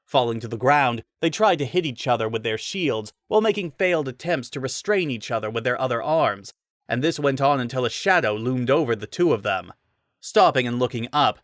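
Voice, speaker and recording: A man's voice: mid-range voice, a bit bright, no echo, clean studio sound. A man giving a dramatic reading of a fantasy story as narrator.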